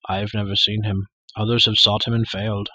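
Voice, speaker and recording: A wise warrior lord speaks low, slow and grave, as voiced by a man reading aloud. His husky tone stays calm and gentle. It sounds close and dry. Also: no echo, heavily acted. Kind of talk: audiobook character voice.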